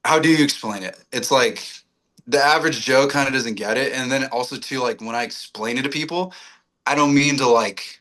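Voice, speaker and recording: A man's voice: medium pitch, normal speed, sharp tone, poor audio. A man of twenty-six, a radio personality chatting casually as a guest, slangy, rambling and self-deprecating. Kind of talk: casual chat.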